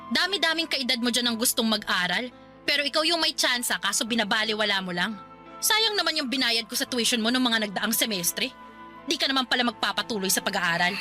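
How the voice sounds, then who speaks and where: A bright, forceful young Filipino woman's voice as a bossy older sister in a radio drama. She swings widely in pitch as she nags and needles with biting sarcasm. Faint music can sit behind her. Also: expressive, fairly quick. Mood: scolding. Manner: sarcastic, nagging, confrontational. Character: sharp-tongued, scolding older sister of twenty-nine.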